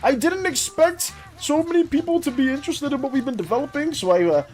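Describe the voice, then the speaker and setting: A streamer chatting casually with viewers in an animated, rambling way and reacting to chat as it comes in. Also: sits high, quick pace. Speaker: art and game streamer.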